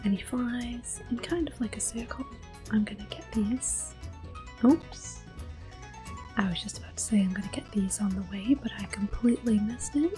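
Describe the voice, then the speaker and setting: A woman doing ASMR gameplay commentary in a soft, breathy, gentle voice, mostly whispered. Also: on the high side, soft background music. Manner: gentle, unhurried. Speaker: game streamer.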